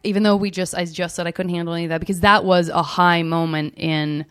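A woman's voice: fairly low pitch, steady tone, fast talker, smooth, dry recording. Effusive woman podcast host, dry close sound. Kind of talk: casual conversational chat.

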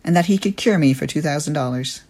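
A listener tells their own story in a matter-of-fact manner. The pace is quick, and the voice sits at a middle pitch. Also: a little mellow.

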